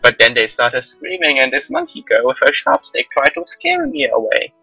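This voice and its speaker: A man's voice: sits high, huge pitch swings, moderate pace, gravelly, pushed and bright, voice pushed up, heavily acted, low-quality recording. A man voicing a small, bratty, defiant slime girl in a visual novel read-aloud. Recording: music behind the voice.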